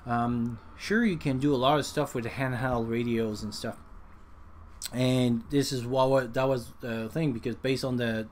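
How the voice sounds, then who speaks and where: Male hobbyist vlogger, mid-pitched, unscripted and halting, rambling through explanations with frequent restarts; noticeable noise behind the voice. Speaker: vlogger, amateur radio hobbyist.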